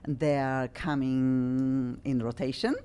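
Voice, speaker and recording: Lady hosting a radio show, low voice, stops and restarts a lot. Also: fairly even intonation, mellow, smooth. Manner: halting non-native English with many restarts.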